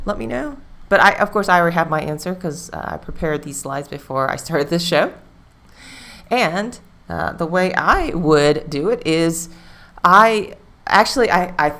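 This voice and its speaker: A woman's voice: deep voice, fairly bright tone. A woman hosting a live stream, talking casually and rambling with fillers. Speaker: livestream host.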